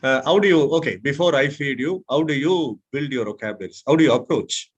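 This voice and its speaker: A man's voice: mid-range voice, breathy edge, highs cut off. Indian accent guy mentoring a group, talks in stops and starts, repeats himself, gives spoken examples. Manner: halting, repeats words, checks with listeners. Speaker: public speaking mentor leading a session.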